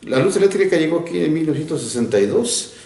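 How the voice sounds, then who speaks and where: An older man from Mexico, a retired teacher, recalling his childhood in an interview, reflective and often laughing, with halting restarts. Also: fairly high pitch, expressive, a little mellow, roomy sound. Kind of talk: recounting childhood memories.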